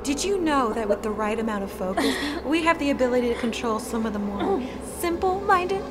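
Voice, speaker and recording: A woman's voice: high-pitched, expressive, brisk. An actress playing a mocking, vengeful female spirit in a radio play, sly and theatrical. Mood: gloating, menacing. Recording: voice over loud music.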